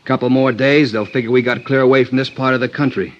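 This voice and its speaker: A man's voice: mid-range voice, steady tone, quick pace, lightly acted. An actor playing a jumpy, suspicious hard-boiled gunman, talking in threatening, slangy tough-guy speech in a 1950s radio crime drama. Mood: cold, defiant. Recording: dull, noisy old radio recording.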